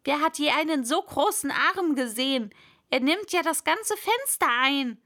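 A woman's voice: high voice, slow, a bit bright, exaggerated voice. A woman voicing an angry, bossy male rabbit from a storybook, scolding and calling out orders imperiously. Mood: irritated, bossy.

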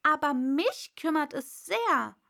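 A woman's voice: sits high, lively intonation, slow, vocal fry, pushed and bright, voice pushed up, heavily acted. A woman voicing an earnest, polite little girl in a storybook, protesting with some indignation. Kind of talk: storybook character voice.

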